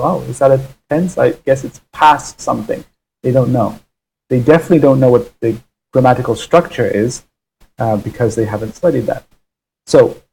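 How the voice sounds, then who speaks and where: A man from the UK teaching a live online English lesson, explaining grammar in a relaxed way with many ums and uhs, on muffled livestream audio. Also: medium pitch, gravelly, breathy edge.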